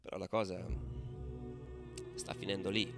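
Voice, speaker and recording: An actor playing a fervid football ultra who recounts stadium riots in a theatrical radio monologue. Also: male voice, low-pitched, flat delivery, measured pace, gravelly. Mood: tense, subdued. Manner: theatrical, swinging between hushed murmur and loud declaiming. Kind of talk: dramatic radio theatre monologue over music. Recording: loud musical backing under the voice. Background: music.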